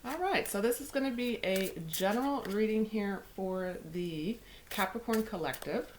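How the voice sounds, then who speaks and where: A woman reading tarot cards, her voice a little raspy from a starting head cold. She speaks at a middling pitch, soft and unhurried, now and then dropping to a whisper. A faint hiss sits under the recording. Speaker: tarot reader.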